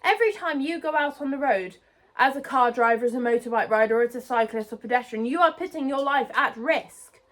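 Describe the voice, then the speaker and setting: A woman's voice: high-pitched, fairly quick, fairly bright tone. A young British woman, about nineteen, ranting indignantly into her phone outdoors by a road. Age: young adult. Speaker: vlogger. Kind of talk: ranting vlog. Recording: phone mic outdoors, cars passing.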